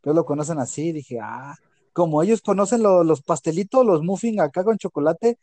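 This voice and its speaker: A middle-aged man with a Jalisco Mexican accent, chatting casually as a co-host. He tells stories with lots of energy and coarse slang. His voice sits a little high and sounds warm and mellow. The recording is close and dry. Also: fairly quick, no echo. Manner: animated storyteller who acts out quotes, with crude slang. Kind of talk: casual chat.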